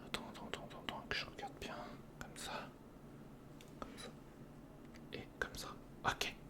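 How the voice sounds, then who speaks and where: A man whispering informal ASMR commentary close to the microphone, murmuring step by step to himself and the viewer as he works. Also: moderate pace. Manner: murmured, informal running self-talk addressed to the viewer. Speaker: ASMR video creator. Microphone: close recorder microphone.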